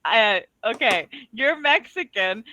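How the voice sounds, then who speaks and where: A woman's voice: on the high side, lively intonation, slow, bright, forceful tone, highs cut off. A woman tutoring English over a video call, chatting casually and laughing often as she tells stories. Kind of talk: casual online lesson chat.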